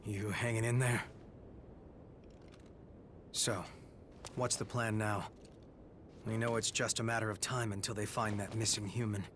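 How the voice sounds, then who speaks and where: An actor playing a gruff, loyal male fighter in a video game cutscene, talking in a casual, blunt, slangy way. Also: on the low side, level tone, unhurried, pauses often, fairly bright tone, a little hiss or hum. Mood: wry, determined.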